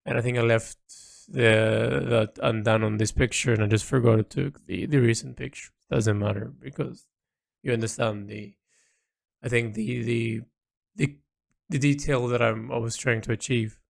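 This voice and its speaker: A man giving informal audio log commentary on his own artwork, speaking in a halting, reflective way with pauses mid-phrase. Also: mid-range voice, fairly even intonation, vocal fry. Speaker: artist narrating own project log.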